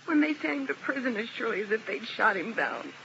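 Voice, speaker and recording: A woman's voice: fairly high pitch, animated, quick pace, creaky. An actress playing a grieving, vengeful young woman in a 1950s radio drama, speaking intensely and emotionally. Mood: anguished. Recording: dull, noisy old radio recording.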